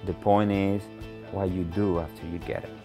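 A middle-aged man speaks in a very low voice with a Dominican Spanish accent. He gives a personal testimonial, with soft music behind him. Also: steady tone, moderate pace. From Dominican Republic. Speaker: cancer patient telling his story. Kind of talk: personal testimonial in a promotional documentary.

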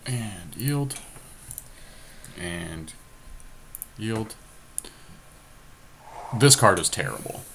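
Casting: low-pitched male gaming podcaster, casual think-aloud commentary, some background noise. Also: lively intonation, extremely slow, long pauses, bright, forceful tone. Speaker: podcast co-host playing an online card game. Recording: noticeable background noise, amateur home capture.

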